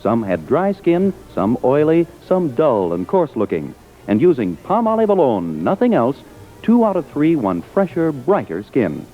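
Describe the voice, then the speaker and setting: A man's voice: a little high, huge pitch swings, normal speed, faint music behind. A man announcing a vintage radio commercial in a scripted, selling style, on a tinny recording. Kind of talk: 1940s-50s radio advertisement.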